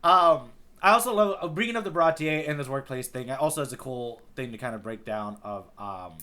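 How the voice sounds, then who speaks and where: A man's voice: medium pitch, brisk, bright, forceful tone. A man co-hosting a podcast in casual conversation, thinking aloud in a rambling way and often saying "like". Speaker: podcast co-host. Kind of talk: casual chat recapping a manga arc.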